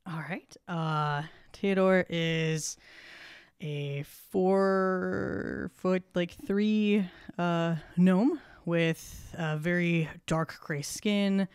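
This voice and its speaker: Casting: female-sounding tabletop player, smooth and slow, casual, deliberate delivery. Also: fairly low pitch, fairly even intonation, a little mellow, no room sound, studio quality. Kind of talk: casual character introduction.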